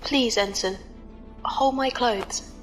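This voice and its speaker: A woman's voice: a little high, takes it slowly, fairly bright tone, faint music behind. A woman acting a composed, precise, formal starship navigator in a sci-fi audio drama. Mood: calm formal. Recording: echoey reverberant hall sound.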